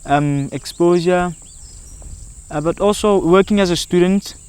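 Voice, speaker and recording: A man's voice: sits high, measured pace, darkish tone, smooth. A young man, an environmental educator and guide, introducing himself in an earnest interview with small hesitations.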